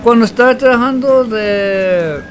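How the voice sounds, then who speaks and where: A man's voice: extremely high pitch, a little slow, faint music behind. A man from Texas, chatting casually on a game livestream in a slangy, joking way. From Texas, United States. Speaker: game streamer. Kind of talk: casual bilingual livestream chat.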